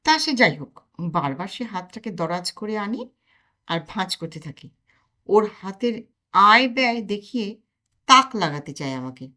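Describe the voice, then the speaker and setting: A woman narrating a comic story in an expressive storytelling way. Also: medium pitch, normal speed, pauses often.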